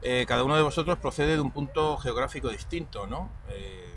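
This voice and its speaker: A man with a Spanish accent interviewing a band, asking measured, thoughtful questions. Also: medium pitch, lively intonation, a crowd in the background. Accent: Spanish from Spain. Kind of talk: radio-style music interview. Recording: distant mic with background noise.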